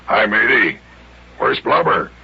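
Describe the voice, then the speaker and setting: A hearty, scornful old sea captain with a very rough, gravelly voice. He drags out his salty sailor talk with swooping pitch, on a muffled old radio serial. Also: very animated, slow pace, pushed and bright, full character voice. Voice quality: gravelly, raspy.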